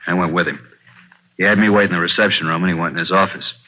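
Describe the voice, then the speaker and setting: A 1940s radio police drama. an earnest officer explaining himself quickly, in a very low voice with a hard, bright edge. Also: male voice, steady tone, low-quality recording. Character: explaining, earnest male police guard giving his report.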